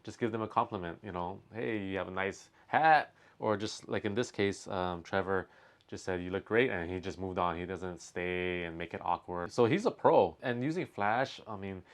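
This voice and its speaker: Low-voiced man giving casual reaction commentary. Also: moderate pace. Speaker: reaction video host and commentator.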